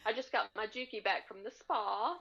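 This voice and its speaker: A woman's voice: fairly high pitch, normal speed, gravelly, a bit bright, slightly rough. Woman guest chatting, slightly dull audio. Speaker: podcast guest. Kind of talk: casual chat about sewing. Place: indoors, split-screen video call.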